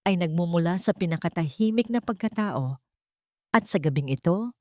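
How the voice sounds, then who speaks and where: A woman reading a scripted story as an audiobook narrator, with a measured, dramatic delivery. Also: lowish voice, a little mellow, no echo, studio quality.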